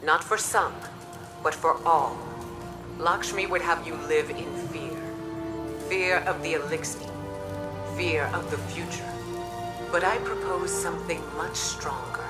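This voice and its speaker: A principled woman leader in a video game cutscene. Her low voice is firm, eloquent and measured, with music clearly underneath. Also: steady tone. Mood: rousing, firm. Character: principled, authoritative female military leader, calm and resolute.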